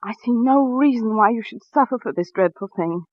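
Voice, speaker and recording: A woman's voice: a little high, lively intonation, a little fast, no room sound, lightly acted. A woman playing an anxious, devoted wife in a 1940s radio suspense drama, speaking tenderly and earnestly. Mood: tender worry. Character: anxious, devoted wife telling her own suspense tale. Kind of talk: 1940s radio drama acting and first-person narration. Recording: dull vintage radio recording, music behind.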